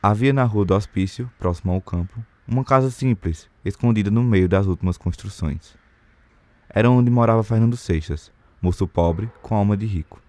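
A man's voice: on the low side, moderate pace, a little mellow, slight background noise. A man with a Brazilian accent narrating a period novel as scripted audio drama.

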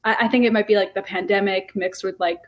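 A woman's voice: mid-range voice, steady tone, fast, highs cut off. Chatty young woman with many fillers. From Rhode Island. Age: 20s. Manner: rambling, many fillers and restarts. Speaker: guest. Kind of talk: casual interview chat.

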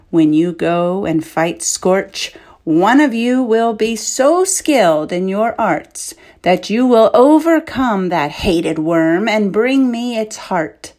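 A woman's voice: medium pitch, a little mellow, voice pushed up. A woman reading a story, voicing a domineering, scheming king who speaks harshly. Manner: commanding, harsh.

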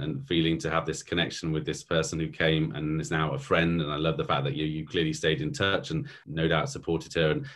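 A man's voice: very deep voice, fairly bright tone. A man hosting a podcast, asking long, rambling questions full of hesitations and "you know"s. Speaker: podcast host. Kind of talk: interview questions.

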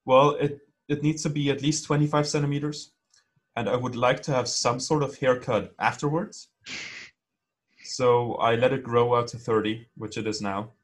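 A man's voice: medium pitch, steady tone, moderate pace. A man co-hosting a podcast in casual chat, rambling with lots of fillers. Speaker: podcast co-host.

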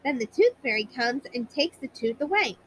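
A woman's voice: high-pitched, big pitch swings, moderate pace, a bit bright, a little hiss or hum, poor audio. A woman voicing a knowing young fox who explains things to friends in a children's storybook. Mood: knowing, eager. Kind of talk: children's storybook character reading.

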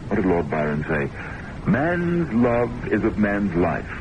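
Suave pompous boss in old radio play, low voice, talks down to people, noisy tape. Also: male voice, big pitch swings, slow pace, highs cut off. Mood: smug, musing. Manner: pompous, wordy, patronizing. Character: suave, self-important, womanizing academic boss, a doctor. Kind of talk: old-time radio drama acting.

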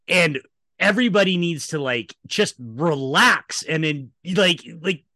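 A man's voice: on the high side, lively intonation, a little slow, pushed and bright, no room sound, clean studio sound. A man co-hosting a casual film discussion, giving emphatic opinions with some profanity. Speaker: podcast co-host.

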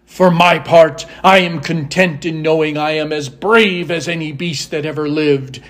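A man voicing a proud yet modest lion in a storybook, with measured delivery. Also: on the high side, fairly even intonation, natural voice.

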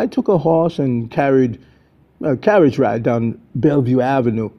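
Older man as a ship captain, muffled audio. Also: on the high side. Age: 70s. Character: male ship captain recounting his errand ashore. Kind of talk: storybook character monologue. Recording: dull lo-fi audio.

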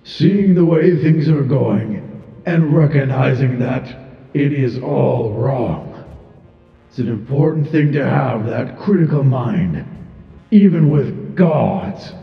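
A man playing a proud, stern, ancient god of war who speaks in a grandiose, formal, deliberate way, as in a theatrical monologue. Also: medium pitch, animated, gravelly. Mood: solemn, stern. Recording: muffled, reverberant hall effect with music.

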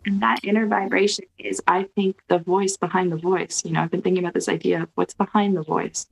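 Gravelly, soothing woman guiding a meditation. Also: fairly low pitch, a little hiss or hum, poor audio. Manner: soft, slow, soothing guidance. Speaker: guest leading a mantra exercise. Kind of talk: guided meditation over video call. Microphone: video call.

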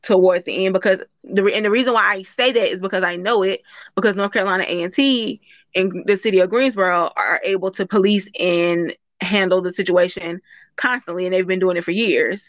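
A woman's voice: mid-range voice, steady tone, a little fast, no echo. Chatty woman co-host, dull lo-fi sound. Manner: rambling, laughing, restarts sentences. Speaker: guest co-host. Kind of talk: casual chat.

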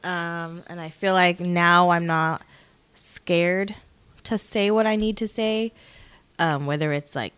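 A woman in her mid twenties speaks with a slightly low voice in a dry, close-sounding recording. She co-hosts a casual podcast, talking informally and unscripted, with lots of filler words. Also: fairly even intonation, takes it slowly, fairly bright tone, clean tone, no echo. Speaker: podcast co-host.

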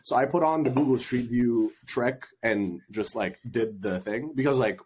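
A man's voice: medium pitch, normal speed, a little mellow, slight background noise. A man from Egypt telling stories casually as a podcast guest, in informal, slangy speech full of quoted asides. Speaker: podcast guest, product marketer. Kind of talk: casual conversational storytelling.

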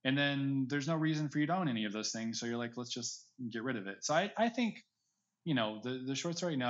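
A man speaking as a podcast guest in casual, discursive interview chat, often hedging as he explains. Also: mid-range voice. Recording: slightly dull, lo-fi audio.

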